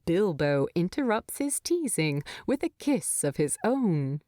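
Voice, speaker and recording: Expressive, unhurried woman storyteller. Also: mid-range voice, no echo, studio quality. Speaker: fan fiction narrator. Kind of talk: expressive scripted story narration.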